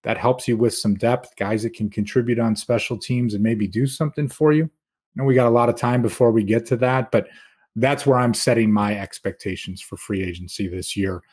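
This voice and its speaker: A man with a husky, medium-pitched voice talks as a guest analyst on a sports podcast. He explains his points in a casual, conversational way, pressing each one. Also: brisk, darkish tone.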